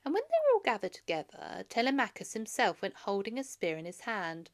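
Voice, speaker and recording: A woman reading a children's storybook aloud in an expressive, narrating style. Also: mid-range voice, a little fast. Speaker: storybook reader.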